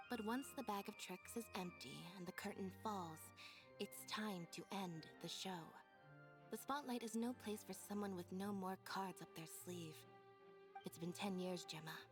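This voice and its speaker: Cool young female accuser for a game, actress, medium register, deadpan and deliberate, clear music underneath. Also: mid-range voice, natural voice. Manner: deadpan, measured, knowing. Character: cool, composed young female magician's assistant, coldly accusing. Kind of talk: dramatic video game voice acting. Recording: music behind.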